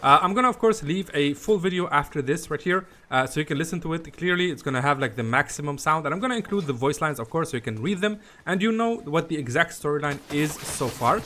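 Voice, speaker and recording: A man's voice: on the high side, lively intonation, quick pace, hardly any pauses, pushed and bright, slight background noise. A man giving a casual, rambling game walkthrough, often repeating himself in a conversational way. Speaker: gaming video host.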